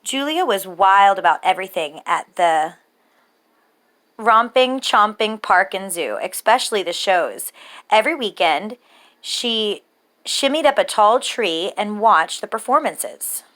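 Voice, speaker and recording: Slow-paced woman reading a children's story. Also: mid-range voice. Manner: measured, rhythmic, expressive phrasing for young children. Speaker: storyteller reading a children's picture book aloud. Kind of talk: children's story read-aloud.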